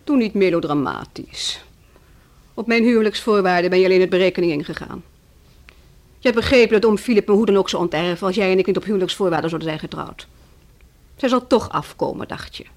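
A woman's voice: medium pitch, fast, natural voice. Bitter young wife for radio drama, played by a woman: mid-range voice, cutting, taunting, proud. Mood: bitter reproach. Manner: scornful, taunting. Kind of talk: Dutch radio play acting.

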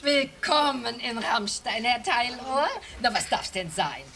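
A gushing village innkeeper. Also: female voice, a little high, lively intonation, a little slow, creaky, a crowd in the background. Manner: gushing, effusive. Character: coquettish middle-aged female village innkeeper. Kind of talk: radio play acting.

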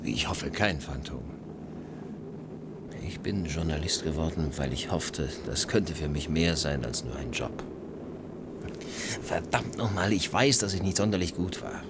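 An actor playing a suave, cynical journalist in a German radio play, talking with ironic, teasing gallantry. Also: male voice, rumbling low, lively intonation, a little slow, heavy background noise, full character voice. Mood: bitter, reflective. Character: suave, cynical male journalist chasing money, world-weary adventurer.

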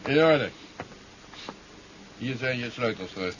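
A man's voice: mid-range voice, animated, takes it slowly. An actor playing a suspicious, curt policeman in a 1970s radio drama. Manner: curt, interrogating. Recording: dull, noisy 1970s radio recording.

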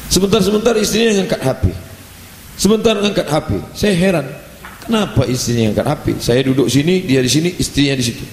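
Animated preacher in an echoing hall. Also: male voice, a little high, quick pace, a little hiss or hum. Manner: lively, animated delivery with playful asides. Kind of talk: religious lecture. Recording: roomy hall echo, faint ambience.

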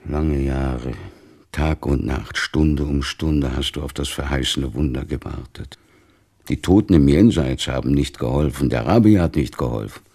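An actor playing a devout, stern, weary older father in a literary radio play, speaking gravely with a gravelly, husky voice. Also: a bass voice, a little slow, mellow, exaggerated voice. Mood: bitter, firm.

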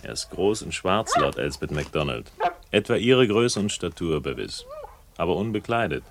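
A man's voice: sits low, very animated, normal speed, background noise. A man playing a sardonic, insistent amateur investigator in a German radio crime drama, with a taunting, theatrical delivery.

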